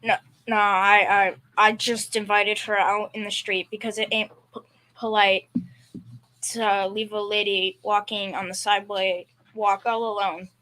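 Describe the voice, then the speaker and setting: A girl playing a blustering, bright-voiced bumbling dad. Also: mid-range voice, flat delivery, a little slow, slight background noise, poor audio. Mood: sly, pleased. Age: young teen. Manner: colloquial, folksy bad grammar. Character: bumbling, blustering middle-aged working-class dad, confidently clueless. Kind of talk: school performance of old-time radio comedy.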